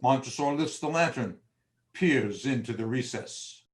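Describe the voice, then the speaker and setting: A man's voice: mid-range voice, a little slow. A man narrating a gothic horror drama from a script in a theatrical, storytelling style.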